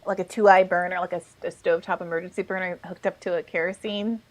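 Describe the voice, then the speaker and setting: A woman in casual panel talk, chatty and animated, with lots of fillers and restarts. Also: fairly low pitch, fast. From lived in Kenya as a child.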